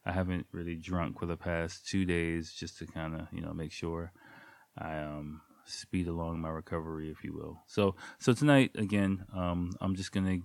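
A man's voice: low-pitched, steady tone, normal speed, creaky, a little mellow, no room sound. A man hosting his own podcast in loose, rambling casual talk, with a stuffy nose from a cold. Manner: rambling, loose, with many ums and jokey sound effects. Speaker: podcast host. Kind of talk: casual solo podcast chat. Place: at home, late at night.

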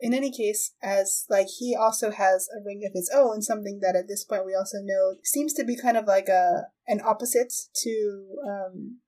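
A woman hosting a podcast, chatting casually in a halting, giggly way as she recaps a show. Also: medium pitch, fairly even intonation, dark tone, low-quality recording. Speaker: podcast host. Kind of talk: casual chat, recapping an anime.

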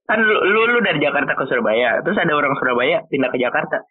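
A man's voice: a little high, expressive, fast, breathy edge. A teenage boy hosts a loose podcast chat in a slightly raised voice, eager to ask and repeating his words. The audio is muffled and lo-fi. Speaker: host.

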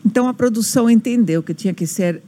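A woman's voice: a little high, quick pace, mellow. An older woman from Argentina who speaks Portuguese with an Argentinian Spanish accent, telling stories in a casual interview with many restarts. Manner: storytelling with acted quotes and frequent restarts. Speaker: guest.

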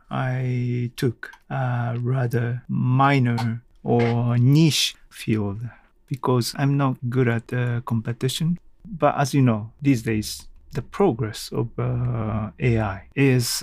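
Solo podcast host: male, non-native English, unhurried and halting, thinking out loud, very dry recording. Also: mid-range voice, no echo. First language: not English. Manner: halting, thinking aloud in short phrases. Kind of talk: unscripted solo reflective podcast talk.

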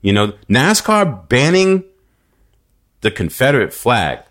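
A man from New York talking casually on a podcast, animated and storytelling, with frequent restarts. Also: medium pitch, takes it slowly. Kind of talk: casual conversation.

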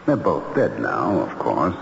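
A sorrowful, courteous male ghost in a vintage radio play. The voice is low and gravelly, with formal, hesitant phrasing that swings expressively. It echoes through a dull, hissy old recording. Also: very animated, exaggerated voice. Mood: gentle, solemn. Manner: formal, hesitant, measured, mournful. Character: courteous, sorrowful ghost of a long-murdered man. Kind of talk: old-time radio drama acting.

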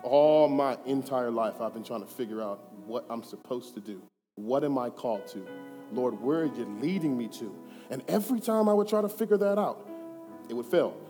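A man in his thirties giving a personal testimony, earnest and conversational, moving between hushed and emphatic. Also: medium pitch, expressive, normal speed. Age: 30s. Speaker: church speaker giving testimony. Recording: reverberant hall with faint music.

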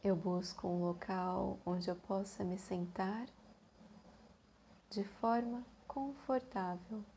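A woman with a Brazilian Portuguese accent leads a guided meditation in a soothing manner, leaving long pauses between phrases. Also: mid-range voice, steady tone, warm tone, highs cut off, a little hiss or hum.

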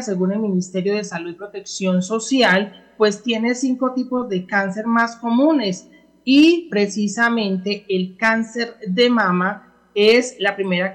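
A woman with a Colombian accent presenting news on the radio and introducing reports. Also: mid-range voice.

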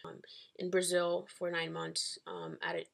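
A woman's voice: deep voice, sing-song, measured pace, gravelly, a bit bright. A woman in her twenties from the Dominican Republic and New York, chatting casually as a guest in a hesitant, rambling way. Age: 20s. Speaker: podcast guest. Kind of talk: casual chat.

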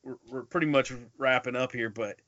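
A man's voice: medium pitch, a little fast, fairly bright tone, dry recording. Dull-sounding man in casual podcast chat. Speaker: podcast co-host. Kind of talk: casual movie review chat.

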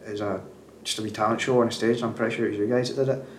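Fast-talking Scottish podcast host. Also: male voice, fairly low pitch, steady tone, a little hiss or hum. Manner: rapid, rambling questions with fillers. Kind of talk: casual interview chat. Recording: echoey room.

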